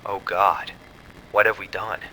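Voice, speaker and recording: A man acting as an anxious research scientist in a horror audio drama. His lowish voice has a very sharp, bright edge, earnest and tentative as he explains, over a faint rumble. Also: level tone, unhurried, rough recording. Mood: concerned. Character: uneasy analytical male research scientist, cautious and awed. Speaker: scientist's assistant.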